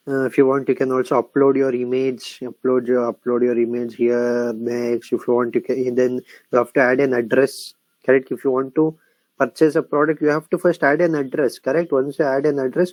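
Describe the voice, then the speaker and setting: A man's voice: mid-range voice, steady tone, moderate pace, a little mellow, no room sound, low-quality recording. Indian man walking through a tutorial. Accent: Indian English. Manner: explanatory, frequently checks in with "correct?" and "okay?"; repeats phrases while typing. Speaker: software instructor. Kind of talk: screen-recorded tutorial walkthrough.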